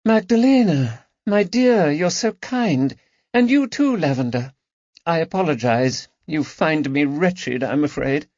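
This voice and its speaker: A distressed aristocratic lady in her forties, theatrical and tremulous, as a male narrator pitches his voice high and loud to put her on. Her lines rise with distress. The recording is dry and slightly dull. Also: lively intonation, a little slow, no echo. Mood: wretched.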